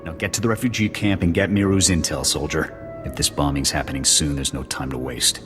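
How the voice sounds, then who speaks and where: A stern male officer with a rumbling, extremely deep voice over music. He is gruff, dismissive and commanding in this sci-fi game scene. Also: steady tone, fairly quick. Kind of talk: video game cutscene acting.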